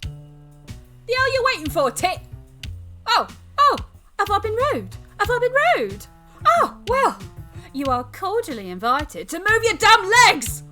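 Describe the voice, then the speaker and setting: A woman's voice: on the high side, animated, slow pace, pauses often, a bit bright, soft background music. A woman voicing a bored, teasing ancient demon with a theatrical, mocking manner and cackles, in a dramatic visual novel reading. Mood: biting mockery.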